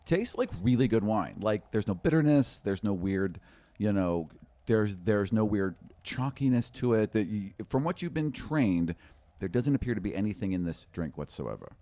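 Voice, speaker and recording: A man's voice: on the low side, lively intonation, moderate pace, mellow. A middle-aged man casually narrating a tabletop game as game master, descriptive, on a close studio mic. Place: small indoor studio room. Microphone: close studio podcast mic with windscreen.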